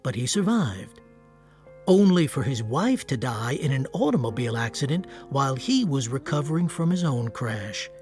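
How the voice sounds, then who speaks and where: A man's voice: mid-range voice, sing-song, normal speed, a little mellow, lightly acted. An actor playing an earnest, wry war-veteran writer who tells his story in a radio drama. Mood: wry, reflective. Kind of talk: radio drama acting and literary narration. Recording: music under the voice. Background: music.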